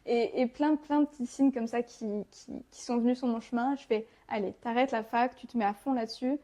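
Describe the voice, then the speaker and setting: Higher-pitched young Frenchwoman telling her story. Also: steady tone, a little fast, mellow. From France. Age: 20s. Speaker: podcast guest. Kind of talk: casual personal storytelling.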